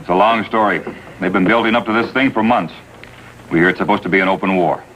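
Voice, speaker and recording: Male, deep American voice; brisk, factual juvenile officer, quick clipped delivery, vintage TV police drama. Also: fairly bright tone. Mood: businesslike. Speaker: supporting actor. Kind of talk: 1950s TV police drama acting. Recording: faintly noisy vintage TV soundtrack.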